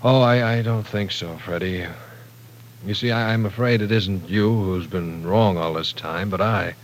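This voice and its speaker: An actor playing a polite, awkward professor in an old-time radio drama, speaking hesitantly and formally. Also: male voice, on the low side, measured pace, a little hiss or hum, natural voice. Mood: awkward, conciliatory. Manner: hesitant, formal, precise wording. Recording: vintage radio broadcast.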